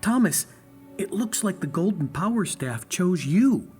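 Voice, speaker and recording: A man playing a calm, brainy scientist who explains things in a measured way, in a fan audio drama. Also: sits high, breathy edge, soft background music, exaggerated voice.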